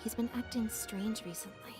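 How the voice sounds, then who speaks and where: Reserved, soft-spoken young woman played by an actress. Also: on the high side, steady tone, lightly acted. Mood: pensive, composed. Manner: understated, measured, soft-spoken. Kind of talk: scripted video game voice acting. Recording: game audio under clear music. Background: background music.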